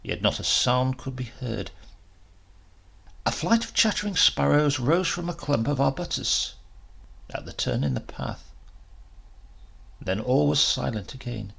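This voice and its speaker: A man reading a literary story aloud in an expressive, measured, dramatic storytelling manner. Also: mid-range voice, vocal fry, breathy edge. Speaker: story reader.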